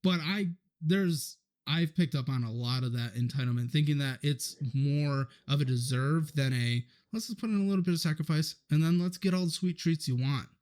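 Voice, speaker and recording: A man's voice: a little high, normal speed, hardly any pauses, darkish tone. A man guesting on a podcast, talking loosely with lots of fillers about his financial show. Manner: loose, filler-heavy talk. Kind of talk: podcast conversation.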